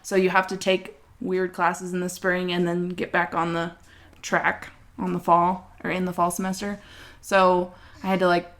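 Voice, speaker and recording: A young woman co-hosting a casual art podcast, telling her story earnestly with animated asides and laughter. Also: on the low side, moderate pace. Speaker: podcast co-host. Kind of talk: casual chat.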